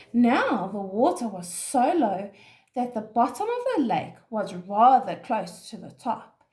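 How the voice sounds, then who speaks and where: Slow, high-voiced woman storyteller. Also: roomy sound. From South Africa. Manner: expressive, addressing young children. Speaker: nursery school teacher. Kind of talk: reading a children's story aloud.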